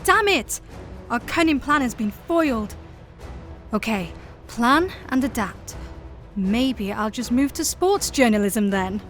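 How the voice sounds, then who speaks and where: High-voiced melodramatic reporter played by a woman. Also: expressive, slow pace, fairly bright tone, soft background music. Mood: frustrated, snide. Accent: Scottish. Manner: theatrical, drily snide. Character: melodramatic, scheming female newspaper reporter. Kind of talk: comic radio play acting.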